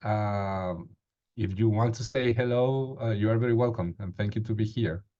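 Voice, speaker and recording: A man's voice: lowish voice, normal speed, dark tone, dull audio. A man in his thirties hosting an online meeting, hesitant with many fillers, on a webcam. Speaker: meeting host. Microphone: video call webcam.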